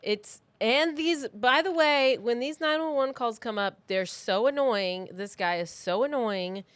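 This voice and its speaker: A woman's voice: a little high, unhurried, dry recording. A woman podcast host telling true-crime stories in a casual, chatty way with informal asides.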